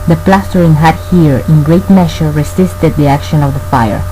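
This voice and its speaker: An actor playing a tormented man narrating a gothic horror tale, formal, grave and measured in a radio play. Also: on the high side, dark tone, close to an everyday voice, rough recording. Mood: awed. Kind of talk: scripted dramatic narration. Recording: faint background noise and hum.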